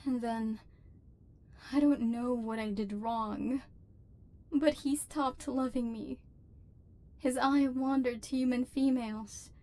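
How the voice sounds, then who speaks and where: Female robot overlord, acted by a woman, slightly high pitch, precise stilted diction, lonely, bitter, lovelorn, ASMR roleplay. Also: steady tone, slow pace, long pauses, dark tone. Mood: wounded, wistful. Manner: formal, precise, stilted diction with wounded feeling. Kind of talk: scripted ASMR roleplay acting.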